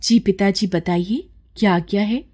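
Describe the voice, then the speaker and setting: A woman's voice: medium pitch, normal speed, darkish tone, close to an everyday voice. A woman playing an innocent, stubborn, devout young village girl in a devotional story, earnest and simple-hearted. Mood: dutiful. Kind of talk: Hindi devotional story acting.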